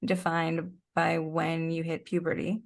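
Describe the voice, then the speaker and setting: A woman's voice: low voice, completely flat delivery, measured pace, vocal fry. A middle-aged woman as an interview guest, explaining her points conversationally in a thoughtful, step-by-step way.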